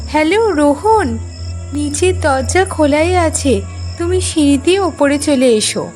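A woman's voice: high-pitched, animated, unhurried, loud music behind the voice, voice pushed up. A woman voicing a friendly, coaxing young ghost woman luring a man in a horror cartoon. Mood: inviting.

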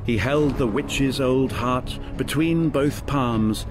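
A man's voice: mid-range voice, slow pace, music in the background, lightly acted. A man acting as the storyteller, giving scripted narration in an audio drama. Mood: storytelling. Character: storyteller narrating a fantasy tale.

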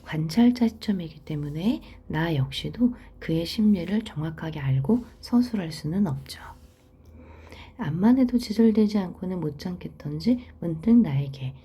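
A woman teaching literature, reading a story aloud and explaining it in a soft, half-whispered delivery. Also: on the low side, expressive, moderate pace, breathy edge.

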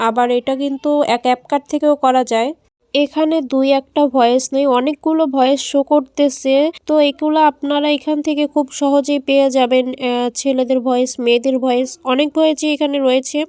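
A woman's voice: sits high, little pitch movement, fairly quick, darkish tone, no echo. A woman hosting a casual step-by-step app tutorial. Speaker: tech tutorial host.

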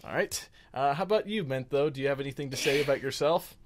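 A man's voice: medium pitch, fast, a little hiss or hum. A man hosting a gaming show in unscripted casual chat, rambling and stammering in a self-deprecating way.

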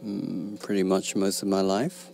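A man from Hong Kong whose first language is Cantonese, a guest artist answering in a hesitant, halting way with dry humor. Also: low voice, monotone, gravelly, darkish tone, slight background noise. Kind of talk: casual interview chat.

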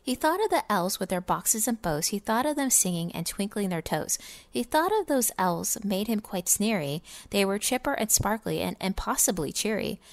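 A woman giving a dramatic, expressive storybook reading in sing-song rhyming verse. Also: mid-range voice, normal speed, dry recording. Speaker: gaming video creator reading a story.

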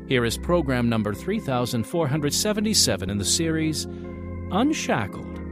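A man's voice: medium pitch, big pitch swings, normal speed. An American man announcing a radio program with scripted dramatic narration and theatrical, emphatic phrasing. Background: music.

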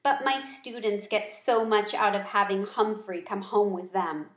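A woman's voice: mid-range voice, unhurried, close to an everyday voice. A woman reading the lines of a kindly female schoolteacher in a storybook, conversational and helpful. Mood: friendly. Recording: roomy home recording.

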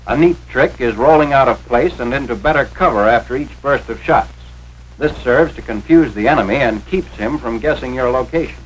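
A man with an American accent reads scripted instructions as the narrator of a 1940s training film. His medium-pitched voice is authoritative and carefully enunciated. The old film soundtrack carries a faint layer of noise. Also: moderate pace, rough recording.

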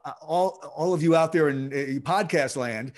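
A middle-aged man, a podcast producer, explaining his craft in a relaxed video-call interview, speaking fluently with frequent restarts and fillers. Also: a little high, normal speed. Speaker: podcast guest, audio producer.

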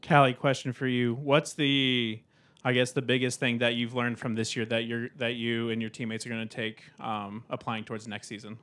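A man's voice: mid-range voice, level tone, a bit bright. A man moderating a postgame press conference, asking questions with many "um"s. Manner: halting, many "um"s.

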